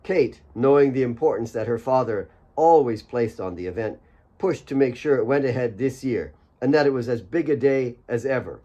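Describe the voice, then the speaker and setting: A man's voice: medium pitch, moderate pace, mellow, muffled recording. A man reading his own fiction as a scripted audiobook narration.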